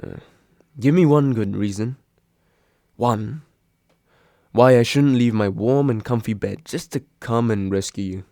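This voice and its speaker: A man's voice: medium pitch, a little slow, gravelly, long pauses, dark tone, no echo, studio quality. A young man acting a grumpy, sarcastic but secretly caring roommate woken from sleep, grumbling and sighing in an intimate roleplay audio drama. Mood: annoyed. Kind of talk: intimate roleplay audio drama, one side of a phone call.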